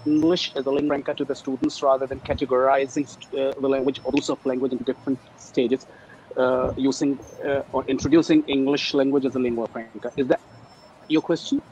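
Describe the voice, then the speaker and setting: A man whose first language is Urdu, an ESL teacher speaking over a video call in a halting, hesitant way. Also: mid-range voice, little pitch movement, a little mellow, dull audio, a little hiss or hum. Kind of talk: panel discussion. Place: teaching abroad.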